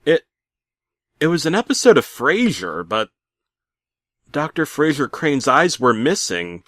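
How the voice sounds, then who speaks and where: A man playing a bitter middle-aged working dad who narrates a comic horror story with theatrical, aggrieved deadpan. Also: on the high side, a little slow, no room sound, studio quality, voice pushed up. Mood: alarmed. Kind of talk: comedic creepypasta narration.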